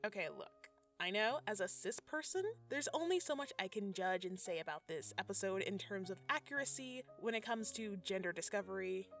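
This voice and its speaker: Animated, bright-voiced woman, sassy commentary. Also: mid-range voice, brisk. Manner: sassy, conversational. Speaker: video essay narrator. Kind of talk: scripted commentary with slangy asides. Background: background music.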